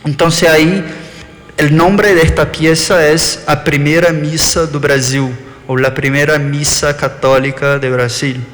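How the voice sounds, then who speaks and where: A man's voice: on the high side, level tone, unhurried, a bit bright. A man with a Brazilian background and an Argentine-tinged Spanish accent, giving an architecture lecture and often correcting himself mid-word. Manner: thoughtful, with frequent self-corrections. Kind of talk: live lecture presentation. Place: lecture hall. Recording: long reverb in a lecture hall.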